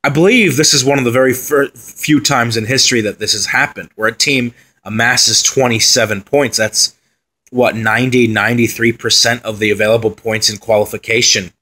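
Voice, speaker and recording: A man's voice: medium pitch, fairly even intonation, normal speed, pushed and bright, poor audio. Casual man previewing a football side. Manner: conversational, with fillers and asides. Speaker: football pundit. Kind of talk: solo commentary on team previews.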